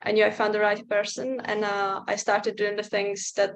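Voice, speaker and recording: A woman's voice: mid-range voice, completely flat delivery, normal speed. A young woman, giving a casual testimonial over a video call, talking hesitantly with lots of filler words. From Czech Republic. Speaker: interview guest, client.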